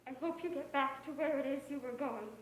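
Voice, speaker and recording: Girl acting bitter heartbroken noir secretary, super high voice, dramatic n accusing, echoey stage. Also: a little fast, a little hiss or hum, close to an everyday voice. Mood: subdued, resigned. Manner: emotional, accusing, dramatic delivery. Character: bitter, lovelorn woman secretary to a detective, holding a gun. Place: indoor stage, roomy echo. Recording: echoey stage recording of a school play.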